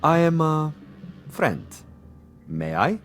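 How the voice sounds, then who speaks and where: A man's voice: a little high, sing-song, slow, faint music behind. A man playing a cultured, menacing commissar and assassin in a drama, speaking with theatrical mock courtesy and relishing his words. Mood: mock-courteous. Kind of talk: dramatic TV-style dialogue acting.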